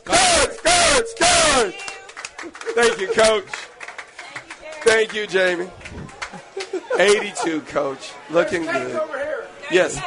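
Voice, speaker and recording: A man hosting live sports talk radio from a restaurant, excitable and full of banter and exclamations. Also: very high voice. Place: busy restaurant, live remote broadcast. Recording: busy restaurant crowd behind. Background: restaurant crowd.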